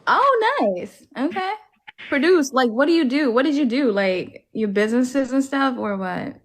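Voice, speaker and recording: A woman's voice: sits high, expressive, unhurried, a little hiss or hum. Chatty woman hosting a livestream. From Alabama, US. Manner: chatty, filler-heavy with frequent "like". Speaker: livestream host. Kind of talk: casual chat.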